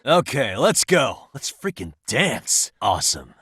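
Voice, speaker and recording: A man's voice: mid-range voice, very animated, measured pace, sharp tone, breathy edge, no echo, clean studio sound, exaggerated voice. An American man playing a wry, streetwise private detective in a video game, speaking casually and sardonically in short lines and asides. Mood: upbeat. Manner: casual, sardonic muttering with frequent sighs.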